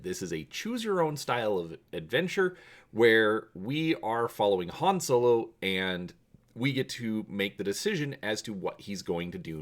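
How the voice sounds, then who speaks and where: A man hosting a story channel and reading an adventure aloud in a dramatic storytelling style. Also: fairly low pitch. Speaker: host and narrator of a story channel.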